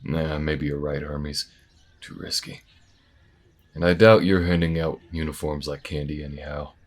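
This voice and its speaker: A man playing a laconic, tactical alien bounty hunter in an audio roleplay, with a low gruff voice and a measured, folksy way of thinking aloud. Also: steady tone. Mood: low-key, practical.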